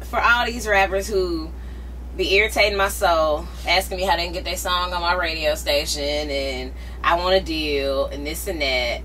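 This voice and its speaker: A woman's voice: lowish voice, fairly even intonation, normal speed, bright, forceful tone, a little hiss or hum. A woman radio host interviewing a rapper on a tour bus, bubbly and teasing in a casual chat.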